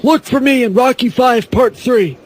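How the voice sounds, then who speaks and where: A man's voice: very high voice, moderate pace, a little hiss or hum, poor audio. A man speaking as a podcast guest in a casual, comedic, rambling way. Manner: rambling, jokey.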